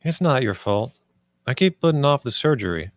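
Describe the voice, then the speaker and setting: A man's voice: medium pitch, animated, normal speed, no room sound, studio quality, lightly acted. A man reading the part of an ailing but wry, easygoing man in a screenplay table read, matter-of-fact. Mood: resigned.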